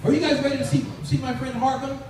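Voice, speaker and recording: A man's voice: very high voice, level tone, fast. A man hosting a live children's puppet show, playfully addressing the audience and repeating his phrases. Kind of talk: live children's stage performance. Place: large echoey room with an audience. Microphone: recorded from far off in the audience. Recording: very echoey room, distant, noisy audience.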